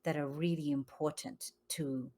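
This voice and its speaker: A woman from Zambia, a yoga studio founder, speaking calmly and reflectively as a guest on a video call interview. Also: deep voice, measured pace. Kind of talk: online interview conversation.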